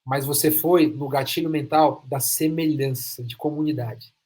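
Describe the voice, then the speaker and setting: A man's voice: a little high, fairly even intonation, moderate pace, a little mellow. A Brazilian man hosting an interview podcast, talking emphatically and repeating phrases for stress. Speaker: podcast host. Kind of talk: enthusiastic interview chat.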